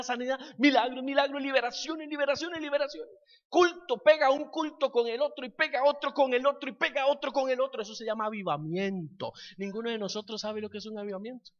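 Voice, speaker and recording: Guy preaching hard, repeats himself, swings from loud to low, kinda muffled audio. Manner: fervent, repetitive preaching, builds to loud climaxes. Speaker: pastor. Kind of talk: sermon ending in prayer.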